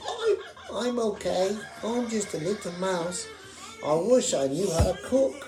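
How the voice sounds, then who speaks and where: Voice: mid-range voice, very animated, unhurried, over music. A performer voicing a small, modest mouse in a puppet show, simple and playful, in an echoey room. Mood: meek. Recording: echoey room with some noise.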